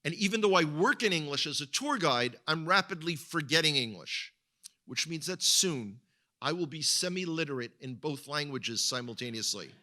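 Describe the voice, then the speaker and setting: Israeli-accented guy preaching to a congregation, bright tone, stumbles and repeats words. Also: a little high, expressive, moderate pace. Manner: conversational, repeats words when searching for them. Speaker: guest speaker at a congregation. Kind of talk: sermon-style talk.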